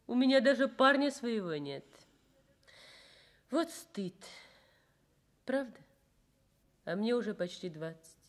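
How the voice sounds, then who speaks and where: An actress in a Soviet radio drama playing a modest, caring nurse of about twenty, simple and frank in manner. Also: female voice, medium pitch. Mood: frank, self-deprecating.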